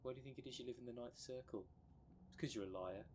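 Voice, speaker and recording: A man's voice: mid-range voice, fast talker, heavy background noise, natural voice. A man playing a blunt, cheeky dream demon, slangy and teasing, in an ASMR roleplay drama. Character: blunt, cheeky dream demon, bickering half of split creature.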